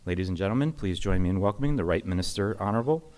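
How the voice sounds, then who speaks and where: A man with a very low voice reads a prepared introduction at a podium in a conference hall. His delivery is measured and formal, with an occasional stumble he corrects. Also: expressive, a little mellow. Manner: measured, formal reading with small slips. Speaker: host introducing a guest.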